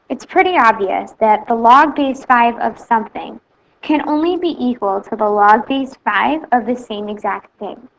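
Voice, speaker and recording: A woman teacher explains math in a recorded lesson. She speaks plainly and steadily, one step at a time. Her voice is a little high with noticeable vocal fry, and the recording sounds slightly muffled. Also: slight background noise.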